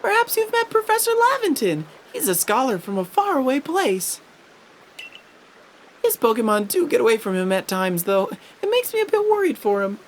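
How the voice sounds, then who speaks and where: A woman's voice: sits high, sing-song, normal speed, a little hiss or hum. A woman voicing a chatty, worried young villager in a video game, fretful and exclamatory, with music behind. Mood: curious, worried. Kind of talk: voiced video game dialogue.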